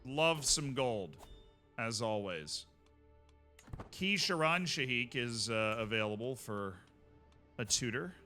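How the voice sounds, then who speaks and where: A man's voice: mid-range voice, animated, takes it slowly, pauses often, sharp tone, soft background music. A man streaming a strategy game, chatting casually with wry asides to himself.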